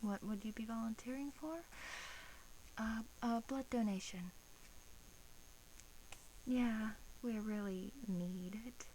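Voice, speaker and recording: A woman playing a bored, teasing vampire guard in a soft-spoken ASMR roleplay, coaxing in hesitant, drawn-out phrases with an undertone of menace. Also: a little high, rough recording. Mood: coaxing, apologetic. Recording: faint background hiss.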